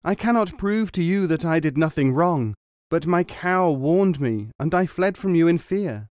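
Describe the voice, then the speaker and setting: A man reading a story, voicing an earnest young man who pleads and explains, a little higher than his narrating voice. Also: expressive, normal speed, darkish tone, no echo, clean studio sound, close to an everyday voice.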